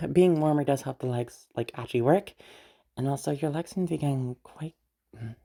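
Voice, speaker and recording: A woman playing a teasing, self-assured, sardonic yet protective young woman in a listener roleplay, rambling and halting as she talks. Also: very deep voice, warm tone. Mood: concerned, hesitant. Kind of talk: roleplay audio drama addressed to the listener.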